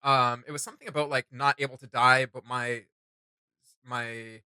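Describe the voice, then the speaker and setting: Male reaction host, mid pitch, very forceful bright tone, casual and questioning delivery. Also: steady tone, normal speed, smooth, no echo. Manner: emphatic, rambling, questioning. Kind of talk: casual chat, discussing game character lore.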